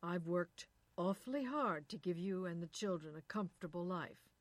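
A woman voicing a bitter, anxious husband in his thirties who lectures and shouts. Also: lowish voice, lively intonation, moderate pace, dry recording. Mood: lecturing. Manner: resentful, lecturing, rising to shouting.

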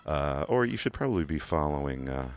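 A man with a Kentucky accent hosting a community radio music show from a studio, talking in a relaxed, rambling way with many "uh" fillers and restarts. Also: extremely low pitch, fairly quick, smooth. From England. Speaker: radio DJ host. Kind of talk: casual radio DJ talk. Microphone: studio microphone.

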